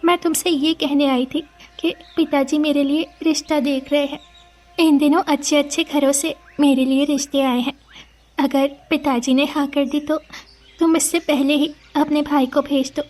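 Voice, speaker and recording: Lady doing an anxious young village girl in love, cartoon story, really high earnest voice. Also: steady tone, normal speed, a little mellow, faint music behind, close to an everyday voice. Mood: worried. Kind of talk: Hindi animated moral story acting.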